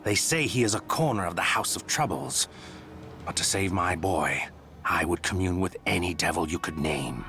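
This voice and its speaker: A man's voice: sits low, animated, normal speed, bright, forceful tone, soft background music, heavily acted. An actor playing a sombre, guilt-ridden father narrating a fantasy game tale in a grave, regretful manner. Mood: weary, grave. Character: sombre, guilt-ridden father recounting his dark bargain.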